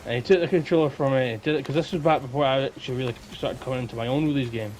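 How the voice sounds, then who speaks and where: A man's voice: mid-range voice, rapid-fire, a little mellow, a little hiss or hum, low-quality recording. A man with a Scottish accent telling casual anecdotes as a guest on a podcast.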